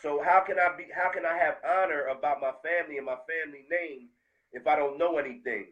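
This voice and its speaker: A man from the United States speaking heartfelt and animated in a casual conversation, full of street slang. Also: a little high, a little fast, lo-fi sound.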